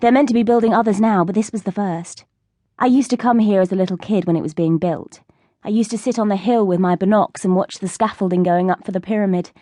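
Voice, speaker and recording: A woman's voice: mid-range voice, fast, warm tone, no echo, clean studio sound, close to an everyday voice. A woman voicing a cocky, streetwise teenage schoolgirl in an audiobook, with a breezy, bossy, know-it-all manner. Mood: chatty, proud.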